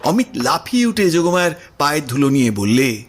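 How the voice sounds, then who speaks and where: A man's voice: on the high side, big pitch swings, brisk, a little hiss or hum. A man reading literary prose as the narrator of a Bengali audio story, in a scripted storytelling style.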